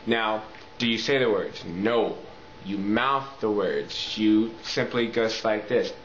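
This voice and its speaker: A man's voice: on the low side, slow, a bit bright. Echoey, muffled casual man giving a tutorial. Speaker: talk box tutorial presenter. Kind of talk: casual instructional video talk. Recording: echoey room, muffled lo-fi audio, faint noise.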